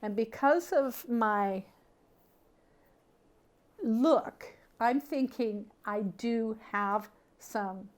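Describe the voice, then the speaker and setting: A middle-aged woman from Canada sharing personal memories casually as an interviewee, thinking aloud in a halting, conversational way. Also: a little high.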